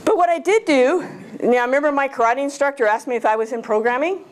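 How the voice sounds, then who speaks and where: A woman's voice: high voice, quick pace. Chatty woman in her fifties on a handheld mic. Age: 50s. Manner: chatty, jokey, asks the audience questions. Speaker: guest speaker. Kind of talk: casual talk to a club audience. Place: small lecture room.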